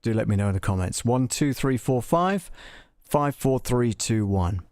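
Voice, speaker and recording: A man hosting a live software walkthrough, talking casually and tentatively, thinking aloud as he tests things. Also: medium pitch, animated, normal speed, no room sound, clean studio sound. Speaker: livestream host demonstrating audio software.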